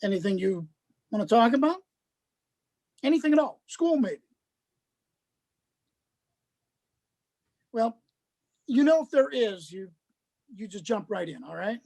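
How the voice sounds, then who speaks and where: Woman playing creaky-voiced, chatty male social worker. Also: medium pitch, sing-song, moderate pace, long pauses, dark tone. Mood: coaxing. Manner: hesitant, chatty, repeats words. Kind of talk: dramatized script reading.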